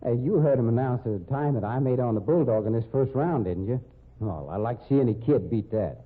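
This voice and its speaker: An actor playing a jealous, boastful cowboy husband with slangy, folksy talk in an old-time radio drama. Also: male voice, medium pitch, animated, a little fast, husky. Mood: cocky, needling. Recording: tinny, band-limited old radio recording.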